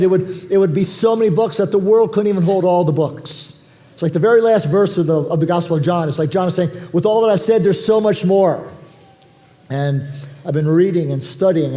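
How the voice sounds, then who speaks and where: A male pastor delivers a sermon with a stuffy, nasal voice from a cold. His tone is dark and mellow, pitched a little high for a man, and he talks conversationally, restarting phrases and clearing his throat. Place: church auditorium.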